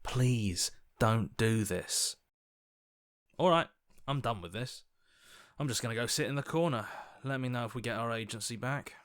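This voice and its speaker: A man's voice: mid-range voice, normal speed, dry recording, clean studio sound, lightly acted. A man voicing an earnest, anxious hero as a reluctant inner voice in a video game, conversational, hesitant and wry. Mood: resigned, exasperated. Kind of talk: dramatic video game voice acting.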